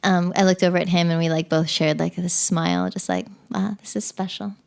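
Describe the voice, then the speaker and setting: A woman's voice: fairly low pitch, big pitch swings, brisk, vocal fry. A woman from the Cayman Islands, a podcast guest, talking in a chatty, casual way with many fillers and restarts. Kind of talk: casual interview chat.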